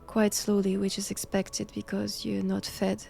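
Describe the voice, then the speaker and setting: A woman with a French accent playing a cold, haughty nun in an intimate roleplay audio drama, speaking deliberately and often dropping toward a whisper. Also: mid-range voice, monotone, gravelly, dark tone, soft background music. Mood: clinical, commanding. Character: cold, imperious nun examining a captive vampire.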